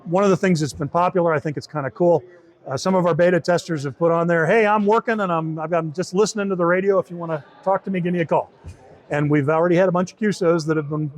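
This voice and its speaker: A man giving an informal interview demo of a software tool, explaining step by step and often rephrasing as he goes. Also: a little high, fast talker. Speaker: software team member demonstrating a beta tool.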